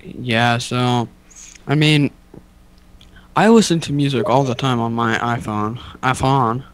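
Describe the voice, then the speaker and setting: A man's voice: mid-range voice, slow pace. A young man co-hosting a podcast in casual, unscripted chat, rambling along in a goofy, mock-boastful way. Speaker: podcast co-host.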